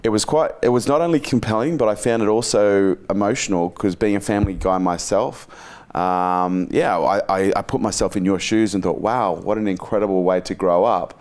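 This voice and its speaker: A man hosting a podcast interview, effusive and halting, with many fillers. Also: lowish voice, darkish tone. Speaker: podcast host. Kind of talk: casual interview chat.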